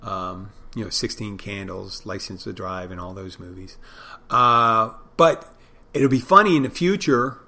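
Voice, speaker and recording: A man's voice: lowish voice, unhurried, pushed and bright. A middle-aged man with a Philadelphia accent hosting a podcast solo, talking casually in a meandering, self-deprecating way and trailing off mid-thought. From Philadelphia, United States. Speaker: podcast host.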